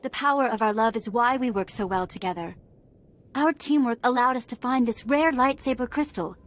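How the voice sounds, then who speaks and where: An actress playing a proud, devoted and guarded young Jedi student in a video game, with formal intensity. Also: female voice, on the high side, normal speed, lightly acted. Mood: adoring. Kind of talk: scripted video game acting.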